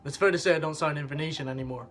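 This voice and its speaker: A young man from the Scottish Highlands, his Scottish accent a little softened by years in England, chatting wryly and bluntly to camera in a casual vlog. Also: rapid-fire. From Scotland. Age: young adult. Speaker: vlogger.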